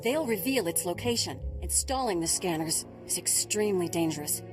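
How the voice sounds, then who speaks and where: A woman's voice: lowish voice, lively intonation, normal speed, over music, natural voice. A woman playing a compassionate, earnest research scientist in a video game, articulate and explanatory. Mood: urgent. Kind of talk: video game cutscene acting.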